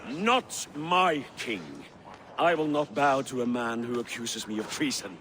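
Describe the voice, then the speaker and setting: An actor playing a proud, bitter banished Viking warrior in a video game, grave and measured. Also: male voice, medium pitch, noisy background, close to an everyday voice. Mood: defiant. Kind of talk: dramatic video game acting.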